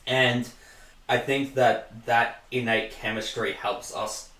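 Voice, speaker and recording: A man's voice: medium pitch, little pitch movement, slow, pushed and bright, distant mic. A man with an Australian accent, chatting casually as the host of an interview with voice actors. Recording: echoey room, off the mic.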